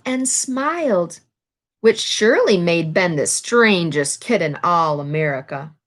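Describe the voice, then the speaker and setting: A woman reading a children's picture book aloud in an expressive, instructive way, adding explanations for young listeners. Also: mid-range voice. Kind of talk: read-aloud storytelling with explanatory asides to children.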